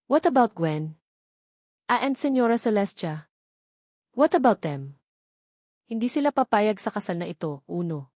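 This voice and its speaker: A woman's voice: mid-range voice, very animated, measured pace, long pauses, darkish tone, dry recording, studio quality. Woman playing anxious, hesitant bride-to-be. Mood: worried. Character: anxious, hesitant young mother and reluctant bride. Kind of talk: romance audio novel dialogue.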